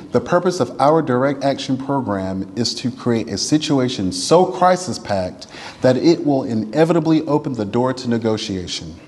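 A man reading a written text aloud in a formal, oratorical style, with measured, deliberate and emphatic phrasing. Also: on the low side, a little mellow, slight background noise. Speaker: reader at a church event. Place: large church interior. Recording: reverberant large hall.

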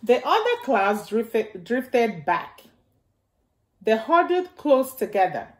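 Slow, lively middle-aged woman reading to children. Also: on the high side. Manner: simple, deliberate phrasing with repeated key words. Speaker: storytime host reading a picture book to young children. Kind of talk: read-aloud storytelling for small children. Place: indoors in a home, beside a staircase.

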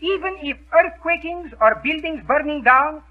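An actor playing an excitable Greek restaurant owner in 1930s radio comedy, with a Greek accent and garbled, mock-learned English. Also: male voice, very high voice, normal speed. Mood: genial, warning. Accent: Greek, put on. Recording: muffled, band-limited 1930s radio recording.

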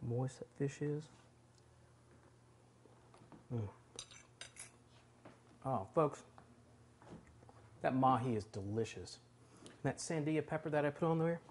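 A man's voice: fairly high pitch, slight background noise. A man in his forties hosting a home cooking show, talking casually and enthusiastically while he works in a large kitchen. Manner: chatty, enthusiastic, talks while working.

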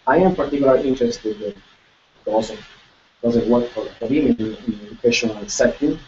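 Italian man, middle-aged, giving a halting talk, heard from across an echoey room. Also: mid-range voice, animated, darkish tone, a little hiss or hum. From Italy. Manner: halting, many restarts and repeated words, gesturing. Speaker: guest researcher presenting. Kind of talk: lecture presentation. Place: lecture room with projector screen. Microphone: far room camera. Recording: echoey room, distant and dull.

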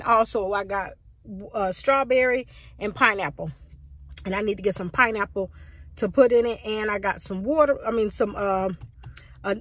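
A woman in her forties talking casually to her phone in a parked car, rambling and animated, gesturing with her hands. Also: mid-range voice, no echo. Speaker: vlogger. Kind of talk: casual chatty vlog. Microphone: phone at arm's length.

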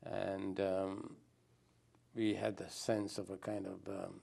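An older man from Sri Lanka, a guest answering interview questions thoughtfully, with many pauses and restarts. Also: low voice, completely flat delivery, creaky, dark tone, breathy edge. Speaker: guest anthropologist.

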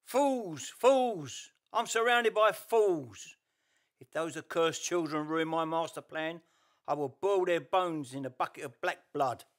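A man's voice: a little high, animated, slow. A man playing a furious, scheming, boastful ghost villain in a radio play, ranting theatrically. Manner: theatrical, gloating, alliterative ranting.

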